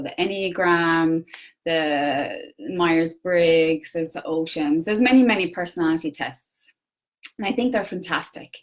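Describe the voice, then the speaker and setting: A young Irish woman with an Irish accent gives an earnest solo livestream talk, with many pauses and restarts. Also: lowish voice. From Ireland. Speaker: personal development coach. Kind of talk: solo Facebook Live talk.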